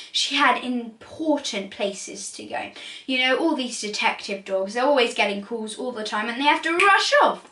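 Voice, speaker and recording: A woman's voice: sits high, sharp tone. A woman reading a storybook aloud expressively for young children. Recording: roomy echo, distant from mic.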